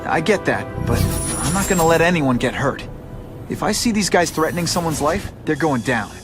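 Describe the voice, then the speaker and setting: An actor playing a young, wisecracking, principled superhero in a video game, with a casual, wry delivery. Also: male voice, on the high side, expressive, moderate pace, music in the background, lightly acted. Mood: resolute. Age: young adult. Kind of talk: naturalistic video game acting.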